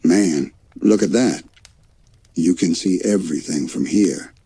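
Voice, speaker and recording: A man's voice: deep voice, unhurried, warm tone, slightly rough, exaggerated voice. A man voicing a goofy, boastful young male cartoon bear in a bedtime story, enthusiastic and slangy. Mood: awed.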